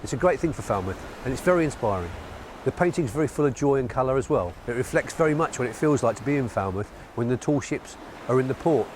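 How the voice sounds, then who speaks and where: Man, from Cornwall, writer interview, average pitch, outdoors with faint ambient noise. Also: lively intonation, quick pace, a little mellow. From Cornwall, England. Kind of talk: interview piece to camera. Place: outdoors on a harbour quay.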